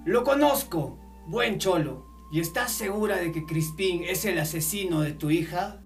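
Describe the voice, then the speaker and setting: High, creaky, proud hired killer played by a man. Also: lively intonation, music in the background. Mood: cool, bargaining. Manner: deliberate, boastful, bargaining. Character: proud, cold hired killer of a mountain village. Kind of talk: radio drama acting.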